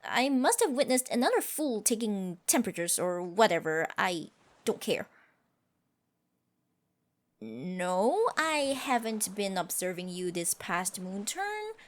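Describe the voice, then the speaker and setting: A woman's voice: medium pitch, sing-song, slow. A woman voicing a proud, scoffing tsundere siren with stiffly formal phrasing in an ASMR roleplay. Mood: flustered, defensive. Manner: haughty, scoffing, flustered stammers, archaic formal phrasing. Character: haughty tsundere siren, proud, secretly smitten sea creature.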